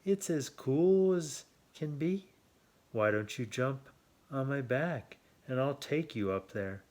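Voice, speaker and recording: A man plays a tricky buzzard from a children's storybook. The bird is smooth-talking and coaxing, a sweetly persuasive schemer with a pushy streak. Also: mid-range voice, expressive, slow, darkish tone. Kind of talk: children's storybook read-aloud.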